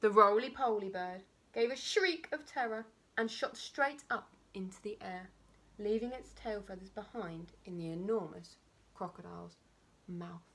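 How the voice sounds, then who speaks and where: A woman reading a children's storybook aloud like a primary school teacher, with expressive, emphatic and dramatic phrasing. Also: medium pitch, measured pace.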